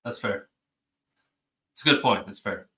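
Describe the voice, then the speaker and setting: A man's voice: fairly low pitch, a little slow, background noise. A man hosting a stream, explaining legal points in a laid-back, casual way. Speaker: stream host. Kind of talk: casual chat.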